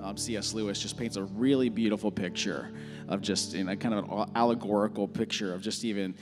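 Slightly hoarse guy in his 30s preaching into a handheld mic, rambly and relaxed, church hall echo, soft band music behind. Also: on the low side, a little fast. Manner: relaxed, rambling with many fillers and restarts. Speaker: pastor. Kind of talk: informal sermon and prayer. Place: large church stage. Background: soft worship music.

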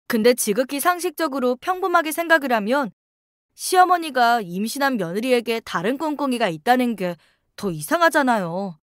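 A woman reads a scripted first-person story as its narrator. Her voice sits a little high. The recording is dry, with hardly any room sound. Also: no echo.

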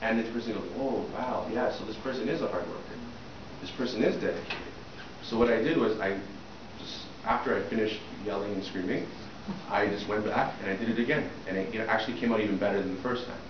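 A man's voice: fairly low pitch, quick pace. A middle-aged man teaching a job interview workshop to a small class, often checking in with "okay?" and questions to the room. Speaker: soft skills trainer. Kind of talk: interview-prep workshop talk. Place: small classroom with a projector screen, indoors. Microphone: far camera at the back of the room. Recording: distant, echoey, muffled room recording with noise.

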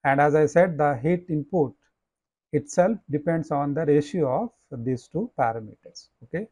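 Man, professor, slide lecture, measured with fillers, fairly high voice, dry close recording. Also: warm tone, dry recording. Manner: measured explaining with frequent "uh" and "you know".

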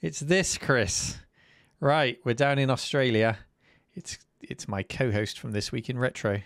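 A man's voice: medium pitch, unhurried, a bit bright, no room sound, studio quality. A man hosting a live stream, chatting and reacting to viewers' videos. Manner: chatty, enthusiastic. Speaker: host.

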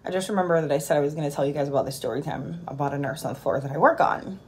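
Young woman, nurse, from Jamaica, exasperated storyteller, slightly low voice, casual vlog, phone at arm's length. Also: rapid-fire, a little mellow. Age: 20s. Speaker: nurse vlogger. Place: small indoor room at home. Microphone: phone held at arm's length.

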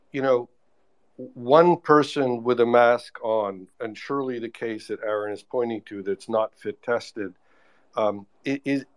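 A man on an online audio panel, answering listeners in a measured way with many fillers and restarts. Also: mid-range voice, a bit bright. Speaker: expert panelist. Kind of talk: live audio panel discussion. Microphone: remote online audio call.

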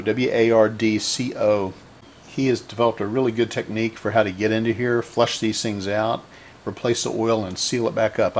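Male repair host, low voice, halting unscripted workbench explanations, some room noise behind him. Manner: halting, thinking aloud while working. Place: indoor cluttered electronics workbench.

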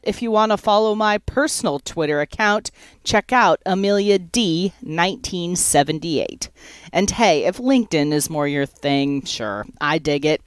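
Lively, chatty woman podcast host. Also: on the low side, clean tone, no room sound, clean studio sound. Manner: upbeat, chatty, playful. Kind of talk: podcast hosting and outro.

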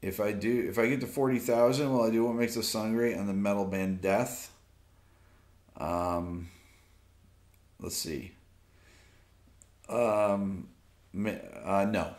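Deep-voiced relaxed man in roomy studio. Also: slow pace, long pauses. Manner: relaxed, rambling, many fillers, reading viewer questions aloud. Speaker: YouTube music channel host. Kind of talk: casual late-night livestream chat reading comments. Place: home music studio with amplifier stacks. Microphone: off-camera mic, seated at desk with phone.

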